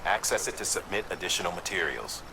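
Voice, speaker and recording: Game mission handler, deep voice, instructive, encouraging, heavy background noise. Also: male voice, expressive, fast talker, vocal fry. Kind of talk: video game dialogue.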